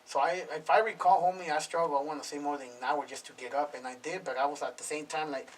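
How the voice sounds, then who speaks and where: A man's voice: fairly high pitch, fairly even intonation, fast. Slangy man in his thirties, distant mic. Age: 30s. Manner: slangy, swearing, fillers "bro" and "homie" throughout. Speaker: podcast guest, music producer. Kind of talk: casual interview talk. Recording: distant mic with faint noise.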